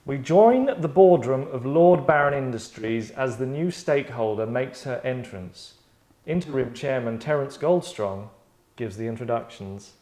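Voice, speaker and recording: Male host, mid-pitched voice, casual rambling delivery, digressive, tongue-in-cheek. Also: lively intonation, unhurried, hardly any pauses, dark tone. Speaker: host and writer running a table read.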